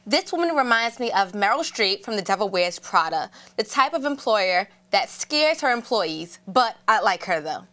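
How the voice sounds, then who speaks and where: A woman's voice: medium pitch, sing-song, moderate pace, few pauses, pushed and bright, a little hiss or hum. A woman co-hosting a talk show, chatting casually and telling a story of her own. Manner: chatty, storytelling, laughs easily. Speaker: co-host. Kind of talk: casual chat.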